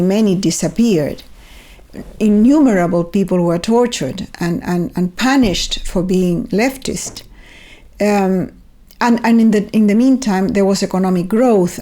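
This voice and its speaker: An older Chilean woman speaking English with a clear Chilean accent. Her Spanish roots come through. She talks thoughtfully and earnestly, with a gentle humor, at an ordinary middle pitch for a woman. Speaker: guest author. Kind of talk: reflective conversation.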